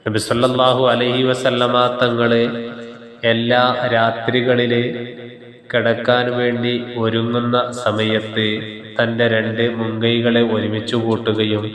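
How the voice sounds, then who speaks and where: A man preaching an Islamic sermon in a measured, instructive way, pausing phrase by phrase. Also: mid-range voice, completely flat delivery, a bit bright. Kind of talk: religious sermon with Arabic recitation and explanation. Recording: heavy echo and long reverb.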